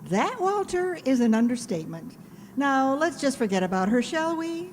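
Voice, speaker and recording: Actress as sardonic lovelorn schoolteacher, animated higher pitch, old-time radio comedy, reverberant hall. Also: female voice, moderate pace, slight background noise. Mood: dry, pointed. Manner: dry, sardonic delivery. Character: wry, sociable high school English teacher pining for a colleague. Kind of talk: 1940s radio comedy acting.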